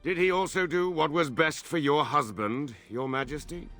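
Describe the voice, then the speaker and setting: Proud lord standing his ground, forceful, bit high, music under. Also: normal speed. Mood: defiant. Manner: formal, pointed. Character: defiant, principled nobleman challenging authority. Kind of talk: dramatic fantasy game acting.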